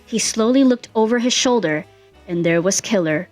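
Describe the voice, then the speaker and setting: A woman's voice: fairly high pitch, lively intonation, normal speed, a little mellow. Woman narrating a story over music. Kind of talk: scripted fan fiction narration.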